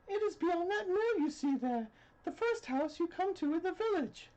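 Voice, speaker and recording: Woman voicing a very high-pitched innocent little girl. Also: animated, normal speed, warm tone, lo-fi sound. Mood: innocent, cheerful. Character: innocent, curious little country girl in a fairy tale.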